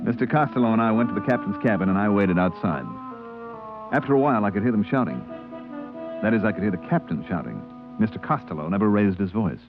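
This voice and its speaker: A man's voice: lowish voice, animated, quick pace, over music, lightly acted. An actor playing a timid, trusting spaceship purser in an old radio drama, hesitant and deferential as he talks and narrates. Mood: earnest, trusting. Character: timid, credulous male spaceship purser narrating his tale. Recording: muffled old radio recording.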